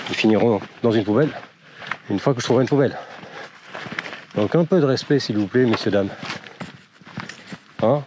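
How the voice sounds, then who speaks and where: A man's voice: mid-range voice, background noise. A chatty man with a slight cold. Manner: chatty and rambling, with many fillers and wry jokes. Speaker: vlogger walking with his dog. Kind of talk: casual walking vlog narration. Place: outdoors on forest trails.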